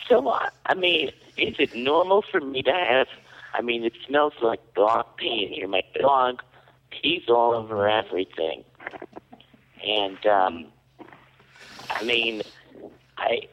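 A man putting on a confused, raspy woman caller who rambles slowly with a lively, swooping pitch. The sound is dull and lo-fi, like an old phone recording. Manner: falsetto put-on woman's voice, rambling, hesitant. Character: confused raspy female prank caller claiming to be a young woman. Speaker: prank caller. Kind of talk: prank phone call.

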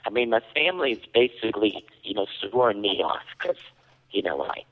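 A man putting on a raspy, muddled woman's voice as a prank caller, rambling and hesitant in a dull lo-fi phone recording. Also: lowish voice, expressive, brisk, lightly acted. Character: confused raspy female prank caller claiming to be a young woman.

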